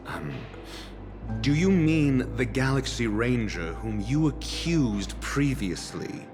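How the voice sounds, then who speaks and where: A man's voice: medium pitch, big pitch swings, slow pace. A man playing a composed, haughty scholar in a video game, speaking formally with dry, skeptical condescension. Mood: amused, sardonic. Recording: game music under the voice. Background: game music.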